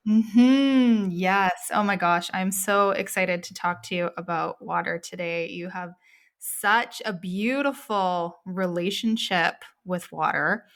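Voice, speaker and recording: A woman's voice: fairly low pitch, slow pace. A woman hosting a podcast, talking in an enthusiastic, chatty way with a lot of gushing. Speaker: podcast host. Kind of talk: casual interview chat.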